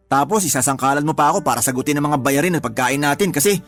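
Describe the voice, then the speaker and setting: An actor playing a stingy young boyfriend who scolds and blames, in a Tagalog radio drama. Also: male voice, a little high, quick pace, fairly bright tone. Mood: scornful, accusing. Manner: accusing, nagging.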